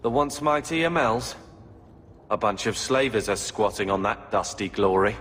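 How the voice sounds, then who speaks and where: An actor playing a resentful, brooding younger brother in a fantasy video game, speaking in a sullen, bitter manner. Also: male voice, fairly low pitch, animated, moderate pace, fairly bright tone, slight background noise. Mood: scornful. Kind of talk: dramatic video game acting. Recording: long hall reverb, faint music behind.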